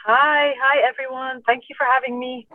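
A woman's voice: sits high, steady tone, moderate pace, no room sound. A woman from Iraq talking as a doctor guest in a conversational interview, explaining things in a hedging way and often repeating phrases. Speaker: doctor, obstetrician and gynecologist guest. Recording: muffled phone-like call, distant from mic.

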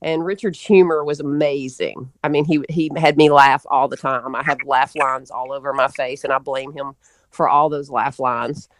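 A woman's voice: rumbling low, steady tone, normal speed, no echo. A middle-aged woman from Memphis speaks in a dry recording with little room sound. She reminisces casually as an interview guest, telling stories in a folksy, anecdotal way. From Memphis, Tennessee, USA.

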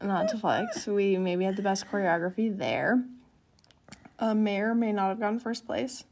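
A woman's voice: mid-range voice, normal speed, a little mellow. A young woman co-hosting a podcast, chatting casually and telling stories in an upbeat way. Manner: chatty, upbeat, storytelling with lots of "like". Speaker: podcast co-host. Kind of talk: casual chat.

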